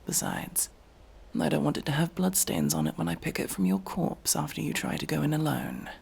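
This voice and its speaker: A man's voice: fairly high pitch, wildly swooping pitch, fairly quick, creaky, a little mellow, husky, exaggerated voice. A man playing an aloof, prickly elf mercenary in a fantasy ASMR roleplay, speaking in a curt, sardonic, exasperated manner. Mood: stern, sardonic. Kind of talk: ASMR fantasy roleplay, acted monologue to listener.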